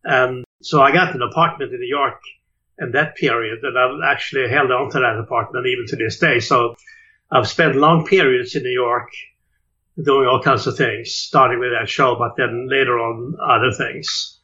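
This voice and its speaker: An older man from Sweden recalls his life in a relaxed interview. His voice is bright and forceful, and he stumbles through fillers and restarts. The audio sounds dull and lo-fi. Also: mid-range voice, normal speed. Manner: hesitant, many uh and repeated words. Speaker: jazz clarinetist guest.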